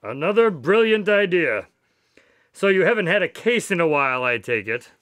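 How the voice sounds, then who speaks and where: A man's voice: high-pitched, big pitch swings, moderate pace, a bit bright, no echo. An actor playing a polite, wry, long-suffering doctor who tells his story in a radio drama, formal and good-natured. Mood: wary, wry.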